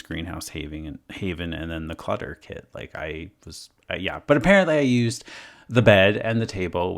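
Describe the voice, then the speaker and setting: A man with a hoarse voice after being sick, giving a rambling, casual voice-over commentary full of fillers as a gaming creator. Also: low voice, fairly bright tone. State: hoarse from illness.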